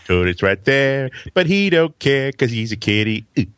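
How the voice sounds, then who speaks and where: A man's voice: medium pitch, sing-song, moderate pace, fairly bright tone, clear, resonant tone, no echo, clean studio sound. A man performing a silly comic novelty song.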